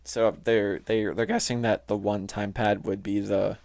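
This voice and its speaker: Male podcast host, lowish voice, informal explainer delivery, stop-and-start with lots of "like". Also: flat delivery, creaky, darkish tone. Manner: informal, halting, heavy use of "like". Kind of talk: casual explainer talk reading from notes. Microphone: close, touchy microphone.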